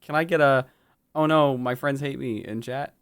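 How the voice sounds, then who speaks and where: Stream co-host, medium-low register, casual and jokey, wandering delivery. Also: fairly even intonation, moderate pace, smooth. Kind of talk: casual banter.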